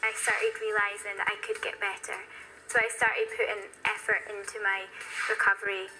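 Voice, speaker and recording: A woman's voice: medium pitch, pushed and bright, low-quality recording. A British woman in her twenties giving a candid, reflective personal testimony as a documentary interviewee. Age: 20s.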